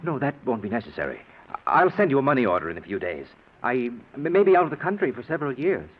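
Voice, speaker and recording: An actor playing a nervous, evasive husband in an old radio suspense play, hesitant and over-polite. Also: male voice, medium pitch, very animated, fast. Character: nervous, evasive husband hiding a guilty secret. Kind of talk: 1940s radio suspense acting. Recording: tinny, hissy old radio broadcast.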